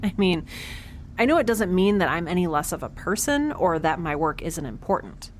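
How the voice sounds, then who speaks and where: Quirky nervous space scientist gal, lowish voice that bounces around, rambly and sarcastic, bit of noise behind. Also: female voice, sing-song, moderate pace. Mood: dejected, self-deprecating. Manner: chatty, rambling, sarcastic, self-deprecating. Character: quirky young woman scientist aboard a spaceship, nervous, wry. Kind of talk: conversational audio drama acting.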